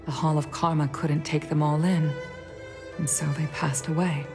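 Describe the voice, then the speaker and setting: A woman playing a dignified, grieving middle-aged leader in a video game, speaking in a formal, measured and solemn way. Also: sits low, flat delivery, natural voice. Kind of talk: scripted video game voice acting. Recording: game music under the voice. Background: game music.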